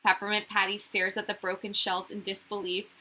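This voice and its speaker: A woman reading a picture book aloud to children in an expressive narrating style. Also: mid-range voice, brisk. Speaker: children's story reader.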